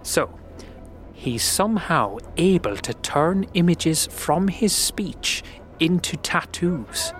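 A man voicing a friendly, gentle, earnest slum dweller in a fantasy audio drama, with a folksy, hesitant way of talking. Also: fairly high pitch, animated, takes it slowly, slight background noise. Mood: earnest, informative.

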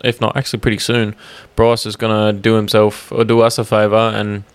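A young Australian man with a lowish voice chats as a podcast co-host. His talk is blokey, slangy and sweary, and he sounds close and dry on the recording. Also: completely flat delivery, brisk, dry recording. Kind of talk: casual chat. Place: small home gaming room.

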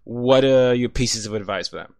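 A young man from Nigeria hosts a relaxed interview. He laughs a lot, trips over his words and restarts often. His voice sits in a middle register and sounds dry and close. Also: mid-range voice, normal speed, creaky, dry recording. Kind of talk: casual conversational interview.

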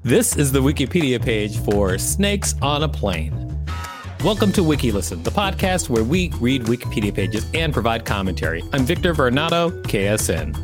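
A man with a medium-pitched voice hosts a podcast. He reads reference text aloud and drops in casual commentary. Faint music plays underneath. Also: big pitch swings, moderate pace. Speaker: podcast host. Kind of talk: reading an encyclopedia article aloud with casual commentary.

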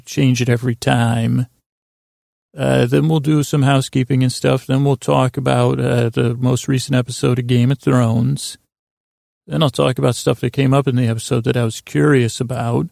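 Gravelly, meandering male podcast host. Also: medium pitch, dry recording, studio quality. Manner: meandering, halting, with stammered restarts and trailing tangents; absurd, self-deprecating deadpan humour. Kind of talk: rambling bedtime podcast monologue.

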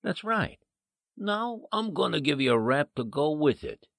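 A man's voice: on the high side, sing-song, measured pace, gravelly, heavy background noise, full character voice. A man acting a sneering tough gangster henchman with slangy speech in a 1940s-style radio drama. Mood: sneering, menacing.

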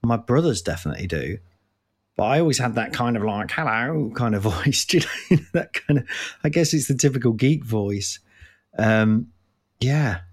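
A man with a Nottingham accent chats loosely on a comedy podcast, sounding worn out. He rambles and mocks himself, his pitch sitting mid-range for a man. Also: expressive, moderate pace. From Nottingham, England. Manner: rambling, self-mocking, breaks into laughs. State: tired. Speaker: podcast host. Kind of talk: casual comedic chat.

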